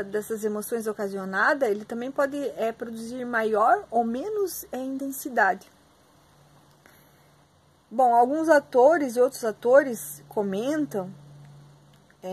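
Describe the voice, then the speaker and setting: Hoarse young Brazilian woman teaching. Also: fairly high pitch. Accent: Brazilian Portuguese. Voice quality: husky. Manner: filler-heavy, addresses students directly. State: hoarse, clearing her throat. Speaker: psychopedagogy student teaching a class. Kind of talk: online lecture reading from a textbook.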